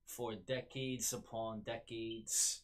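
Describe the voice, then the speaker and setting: A man's voice: lowish voice, little pitch movement, takes it slowly. A man with a New York accent hosting a solo podcast, rambling with many fillers and restarts. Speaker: podcast host.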